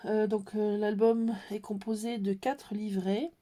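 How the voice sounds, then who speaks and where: A woman's voice: medium pitch, level tone, mellow. Woman with a cold explaining a craft. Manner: hesitant, many pauses and fillers. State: has a cold. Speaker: scrapbooking tutorial maker. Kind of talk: casual craft tutorial.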